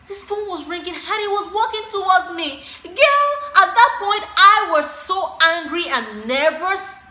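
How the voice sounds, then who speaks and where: A woman's voice: high voice, expressive, sharp tone. A woman acting an exasperated, dramatic single woman in a monologue, confiding in a girlfriend with theatrical, emphatic delivery. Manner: theatrical, emphatic, drawn-out stressed words. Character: exasperated, dramatic single woman venting about a disastrous date. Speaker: actress performing a monologue. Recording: roomy echo, faint background noise.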